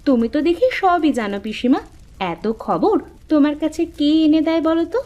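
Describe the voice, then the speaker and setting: A woman voicing a lively, bossy but caring young woman in a cartoon, with a chirpy, insistent manner. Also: sits high, a little fast, muffled recording. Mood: impressed, impatient. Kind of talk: cartoon dialogue acting.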